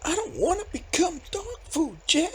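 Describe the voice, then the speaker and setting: A woman's voice: extremely high pitch, wildly swooping pitch, moderate pace, exaggerated voice. A woman voicing a naive, timid, bookish little brother in an audio comedy, earnest and meek. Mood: meek, worried. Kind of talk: audio comedy-horror acting.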